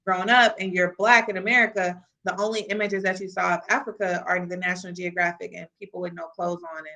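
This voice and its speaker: Brief: young woman from the Midwest, podcast guest, earnest, lowish pitch, bright tone. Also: steady tone, fast talker. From Kansas City, US Midwest. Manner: earnest, reflective, frequent "you know" fillers. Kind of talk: casual conversational interview.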